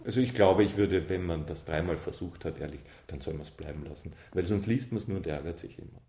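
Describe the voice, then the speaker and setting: A man talks in a lowish, mellow voice, giving his views plainly and with emphasis. The room around him is echoey. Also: brisk. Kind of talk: casual opinionated interview talk.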